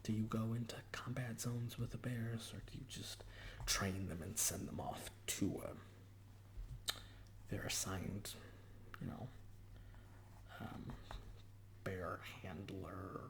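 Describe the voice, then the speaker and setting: A man in a whispered ASMR roleplay as a portrait artist, chatting hesitantly in short phrases with pauses between them. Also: lowish voice, little pitch movement, a bit bright, rough recording.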